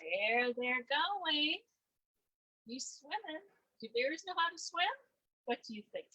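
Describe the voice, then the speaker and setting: Slow-paced older woman with a bright voice. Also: high voice, lively intonation, no echo, rough recording. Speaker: volunteer storyteller. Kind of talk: reading a picture book aloud to children, asking questions.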